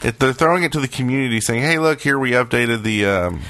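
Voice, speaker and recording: A man's voice: medium pitch, expressive, quick pace, pushed and bright, no room sound. A man hosting a casual, playful panel podcast. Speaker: podcast host.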